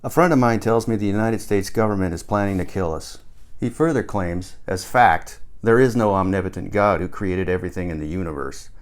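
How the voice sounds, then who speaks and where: A man in his sixties narrates a first-person book as its author. His voice sits on the low side, and he reads in the manner of an audiobook. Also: lively intonation, a little fast. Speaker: author reading own book.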